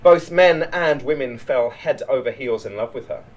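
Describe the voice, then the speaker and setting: A man narrating a written short story in a measured, literary read-aloud delivery. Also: medium pitch, expressive, poor audio. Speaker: writer reading a short story.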